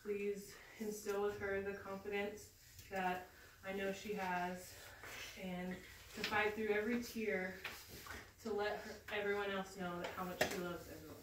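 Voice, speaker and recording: A woman leading a spoken prayer in an earnest, steady way. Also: medium pitch, measured pace. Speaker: prayer leader. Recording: very echoey room, distant mic, noise.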